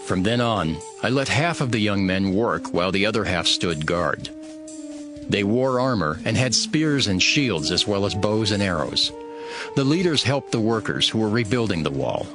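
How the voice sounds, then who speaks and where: A man's voice: on the low side, natural voice. A man playing a resolute, devout leader narrating dramatized scripture in an earnest, declamatory way. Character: Nehemiah, resolute devout male leader rallying his people.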